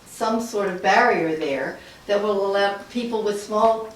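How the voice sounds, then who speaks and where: A woman's voice: mid-range voice, measured pace. A woman speaking as a resident property owner in a public meeting discussion. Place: indoor public meeting room. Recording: very echoey room, distant mic, faint noise.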